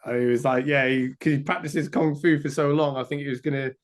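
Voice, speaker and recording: A man in a casual film chat, excitable and rambling, full of "like". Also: medium pitch, quick pace. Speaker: podcast guest.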